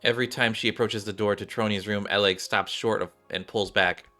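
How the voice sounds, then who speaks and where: A man's voice: fairly low pitch, fairly even intonation, brisk, sharp tone, faint music behind. A man reading visual novel game story aloud as a streamer, with casual asides and some stumbles over the lines.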